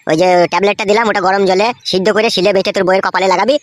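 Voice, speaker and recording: A woman's voice: mid-range voice, fairly even intonation, very fast, no echo, clean studio sound, natural voice. A woman voicing a blunt, foul-mouthed male village doctor who scolds people in a comedy cartoon. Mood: bossy, irritated.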